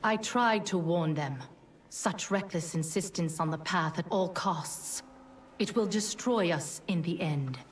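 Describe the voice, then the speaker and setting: A distant, low-voiced fallen angel played by a woman schemes with grave conviction. She is deliberate and conspiratorial, in fantasy game style, with some ambient noise around her. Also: natural voice. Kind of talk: fantasy video game voice acting.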